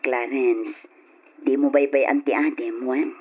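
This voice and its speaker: A woman with an Ilocano accent playing a moralizing wife and mother in an old radio drama, with a husky voice. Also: extremely low pitch, fairly even intonation, unhurried, a little hiss or hum. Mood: sorrowful, firm. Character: gossiping, moralizing wife and sorrowful departing mother. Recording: dull lo-fi radio drama recording.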